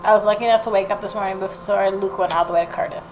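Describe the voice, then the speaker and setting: A woman's voice: mid-range voice, fairly even intonation, very fast, a little mellow. A woman hosting a let's play, reading game text aloud and dropping in informal, reactive asides. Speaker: gaming video host. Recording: clear background noise and game ambience.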